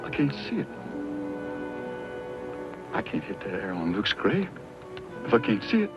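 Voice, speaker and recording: A man's voice: lowish voice, big pitch swings, moderate pace. Folksy frontier rifleman, dull radio sound. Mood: wry, urgent. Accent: American. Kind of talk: old-time radio western acting. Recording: dull old radio recording, music behind.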